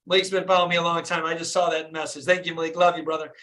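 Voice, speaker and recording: A man in his fifties from Michigan, a dentist hosting a live motivational call in an energetic, hyped-up way, often asking his listeners "right?". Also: a little high, very fast. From Flint, Michigan, USA. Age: 50s. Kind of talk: motivational live-stream talk.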